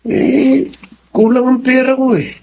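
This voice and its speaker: A Filipino actor playing a sheepish, ingratiating young boyfriend in a radio drama, hesitant and flattering. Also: male voice, very high voice, takes it slowly, gravelly, exaggerated voice. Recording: dull lo-fi audio, faint noise.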